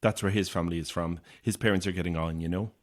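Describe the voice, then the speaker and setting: A man's voice: sits low, fairly even intonation, quick pace, natural voice. An actor playing a lonely, weary Irish man in his thirties in a naturalistic audio horror drama, polite, hesitant and dry in manner. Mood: subdued, explanatory. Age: 30s.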